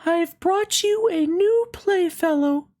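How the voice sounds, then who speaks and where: A gentle nursery fairy from a children's storybook. The voice floats very high, far above ordinary talk. She speaks slowly and soothingly, with a caring, kindly manner. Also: exaggerated voice. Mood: kindly, encouraging.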